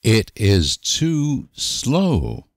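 A man reading short example sentences for language learners with deliberate, careful enunciation. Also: medium pitch, huge pitch swings, no room sound, clean studio sound. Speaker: language teacher.

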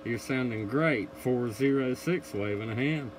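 A man's voice: mid-range voice, a little slow. A man operating a CB radio, calling out and answering contacts in short radio phrases. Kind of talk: CB radio calls. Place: indoor radio room. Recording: noisy room with radio static.

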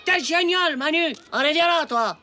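A woman playing a cheeky, boastful, excitable ten-year-old boy in an animated cartoon. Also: high voice, moderate pace, a little hiss or hum. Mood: smug, scheming. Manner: boastful, slangy, childish.

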